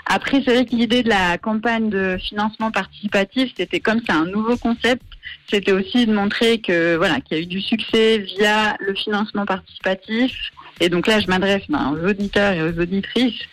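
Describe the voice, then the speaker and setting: A woman's voice: mid-range voice, fairly quick, no echo, low-quality recording. A woman from Marseille, a guest on a radio show, talking about her project with many hesitations and fillers. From Marseille, France. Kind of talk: radio interview.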